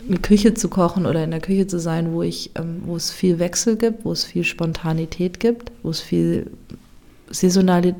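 Woman chef musing thru an interview, low-ish voice, kinda flat, lots of ums. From Germany. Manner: reflective, searching for words, frequent fillers. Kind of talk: casual interview talk.

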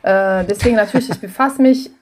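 A woman's voice: medium pitch, a little fast. A woman from Russia, a native Russian speaker, chatting casually and enthusiastically in an interview. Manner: lively, enthusiastic, many fillers. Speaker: comedian guest.

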